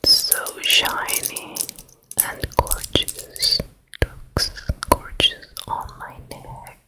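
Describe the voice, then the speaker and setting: A woman voicing a vain, wicked fairy-tale queen in a gentle, self-satisfied ASMR roleplay, in a breathy whisper. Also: drawn-out words. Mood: vain, soothing. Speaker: ASMR performer.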